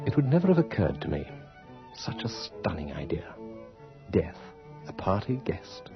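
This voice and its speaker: An obsequious poet-secretary in a period radio play. His fairly low voice is florid and very animated. It sounds like a dull old broadcast with faint music. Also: moderate pace, gravelly, full character voice. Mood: admiring. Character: flattering, theatrical poet and secretary to a noble lady. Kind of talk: period radio drama acting. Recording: dull vintage radio drama recording.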